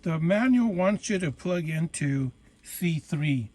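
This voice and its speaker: Higher-voiced man giving a hands-on tutorial. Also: animated, a little mellow. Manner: instructional, halting step-by-step phrasing. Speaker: tutorial presenter, radio hobbyist. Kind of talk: informal hands-on demonstration.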